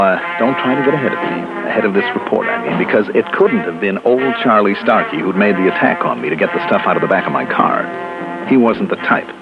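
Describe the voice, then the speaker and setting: An actor playing a wry, confident American insurance investigator, narrating and talking in clipped noir radio style. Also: male voice, low-pitched. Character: wry, hard-boiled male insurance investigator narrating his case. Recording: muffled old radio recording with music.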